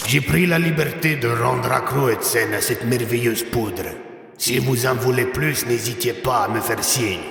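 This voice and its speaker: A man's voice: medium pitch, a little slow, creaky, fairly bright tone. A man as a smug villain in heavy reverb. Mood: smug, taunting. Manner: smug, mock-polite. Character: mocking male villain reading out his taunting note. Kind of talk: French audio fiction acting. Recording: echoing, long reverb over music.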